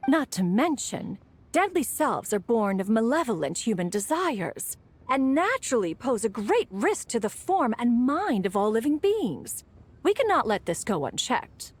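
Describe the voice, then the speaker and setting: A woman's voice: on the high side, very animated, measured pace, husky, heavily acted. A woman voicing a haughty, dignified immortal sage in a video game, speaking in formal, archaic phrasing. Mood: haughty, sardonic. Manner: formal, archaic, calls self "one". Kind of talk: anime-style video game voice acting.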